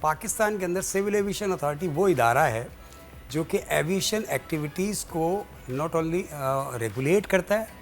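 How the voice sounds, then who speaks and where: A man with a Pakistani accent answering as an interview guest, explaining in a halting, deliberate way and switching between Urdu and English. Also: fairly high pitch, expressive, faint music behind. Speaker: guest, president of an air traffic controllers' guild.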